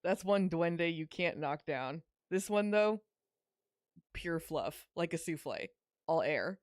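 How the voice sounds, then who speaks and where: Low-voiced woman chatting casually, close and dry. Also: expressive, takes it slowly, no room sound. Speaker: podcast co-host. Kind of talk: casual game commentary chat.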